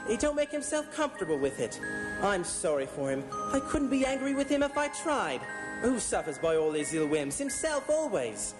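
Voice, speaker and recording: A man's voice: high-pitched, normal speed. A man playing a merry, forgiving young nephew with a cheerful manner in a live radio drama. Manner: cheerful, laughing, animated. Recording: echoey stage broadcast with loud music.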